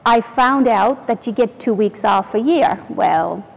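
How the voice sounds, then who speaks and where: A middle-aged woman with an Irish accent, a company founder telling her story in a relaxed interview with plenty of restarts. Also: on the high side, unhurried, gravelly. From Ireland or UK. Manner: chatty, self-deprecating, many restarts. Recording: reverberant room.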